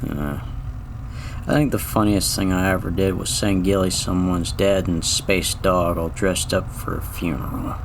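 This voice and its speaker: A man's voice: low voice, gravelly, noisy background. A man playing a lonely, wry, weary space garbage man in an audio drama, rambling in a folksy, reflective way.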